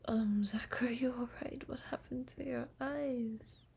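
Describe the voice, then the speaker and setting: A young woman streamer whispering slowly and softly in a relaxed sleep stream, talking into a laptop microphone. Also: on the high side, slight background noise. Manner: soft unvoiced whisper, slow and breathy. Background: guitar.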